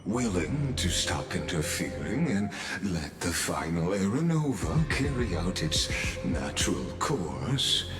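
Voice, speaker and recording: An actor playing a suave, scheming scholar mastermind in a video game, speaking in a courtly, theatrical and condescending way. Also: male voice, low-pitched, big pitch swings, slow, roomy sound, music in the background, exaggerated voice. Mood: coolly threatening. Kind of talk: scripted video game voice acting.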